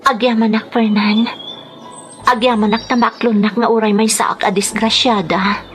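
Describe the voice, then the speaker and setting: A woman playing a grateful, gentle young woman in a radio drama, speaking politely. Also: fairly high pitch, level tone, moderate pace, soft background music, natural voice.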